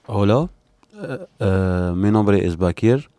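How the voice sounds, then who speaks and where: Man, late 20s, lowish smooth voice, hesitant guest. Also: animated, slow pace, warm tone, dry recording, studio quality. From Algeria. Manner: hesitant, filler sounds. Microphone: studio microphone.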